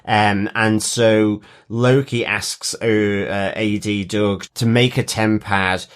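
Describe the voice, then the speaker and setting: Male podcast co-host. Fairly deep pitch, unhurried and halting delivery, informal recap chat. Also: steady tone, clear, resonant tone. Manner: halting, hesitant phrasing with broken-off sentences. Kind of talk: casual TV recap discussion.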